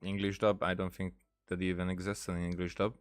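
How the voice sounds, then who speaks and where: A man with a fairly low voice comments casually on a game as he plays. He thinks aloud in a halting way, often repeating words as he searches for the next one. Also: little pitch movement, smooth. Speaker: gaming streamer.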